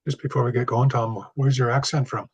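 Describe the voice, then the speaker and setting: A man hosting a webinar, introducing a speaker in a relaxed way with lots of fillers. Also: medium pitch, a little fast, breathy edge, no echo, lo-fi sound. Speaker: webinar host.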